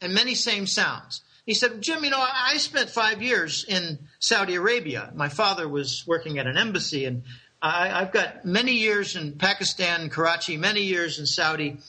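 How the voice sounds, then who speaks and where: A man's voice: high-pitched, expressive, normal speed, pushed and bright, poor audio. A middle-aged man casually telling rambling, conspiratorial stories, chuckling and restarting his sentences.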